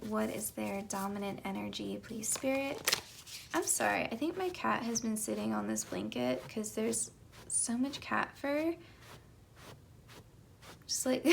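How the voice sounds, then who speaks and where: Young female tarot reader, chatty, slightly high voice with a gravelly edge, just out of bed. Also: slight background noise. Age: young adult. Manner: chatty, light laughs, soft whispered card calls. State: just woken up, slightly raspy. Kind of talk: casual pick-a-card tarot reading.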